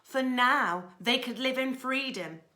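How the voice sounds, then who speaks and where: A woman reading a children's story as a teacher would, expressively and dramatically, moving between full voice and hushed asides. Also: high voice, slow pace. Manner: dramatic, alternating loud lines with hushed asides.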